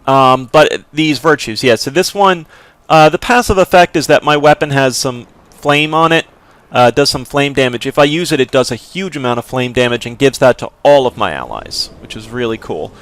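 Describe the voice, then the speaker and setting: A man talking casually over his gameplay, explaining what his abilities do and often restarting his sentences. His voice is smooth and resonant at an ordinary middle pitch. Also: animated, moderate pace, a bit bright, no room sound. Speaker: gameplay commentator. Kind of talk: casual let's-play commentary.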